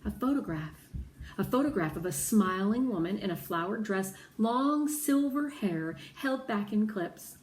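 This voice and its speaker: A woman narrating a children's novel aloud with deliberate, dramatic phrasing, in a roomy recording. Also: medium pitch, expressive, darkish tone. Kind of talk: expressive children's audiobook narration. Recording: roomy echo, faint background noise.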